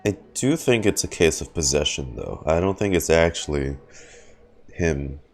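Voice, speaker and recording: A man's voice: extremely low pitch, lively intonation, normal speed, faint music behind. A man giving casual live reaction commentary as a video game streamer, musing in trailing phrases.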